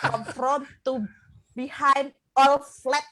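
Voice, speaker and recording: A woman's voice: high-pitched, fairly even intonation, takes it slowly, background noise. A woman doing amateur stand-up banter in a blunt, self-deprecating manner. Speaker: amateur open-mic comedian. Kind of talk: live stand-up comedy banter.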